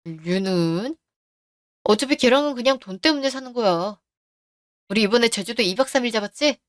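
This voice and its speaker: A woman's voice: a little high, lively intonation, moderate pace, no room sound, studio quality, close to an everyday voice. A woman voicing a scheming middle-aged husband who brushes off his wife's worries, in a radio drama. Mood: smug.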